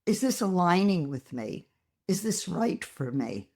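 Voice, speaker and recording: A woman psychologist talking as a guest, explaining ideas in a measured, teaching manner. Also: fairly low pitch, big pitch swings, a little mellow.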